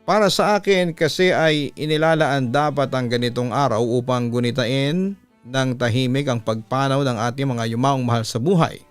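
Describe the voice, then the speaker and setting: Smooth-voiced Filipino man telling horror stories. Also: mid-range voice, steady tone, normal speed. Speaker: radio announcer and horror story narrator. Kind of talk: dramatic reading of a listener's letter. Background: music bed.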